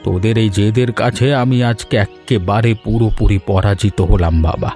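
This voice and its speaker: A man voicing a humble, affectionate old village farmer in a Bengali animated story, speaking in a sentimental, fatherly way with a Bengali accent. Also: lowish voice, moderate pace, close to an everyday voice. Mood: gently protesting. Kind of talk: animated folk story dialogue. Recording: music bed under the voice.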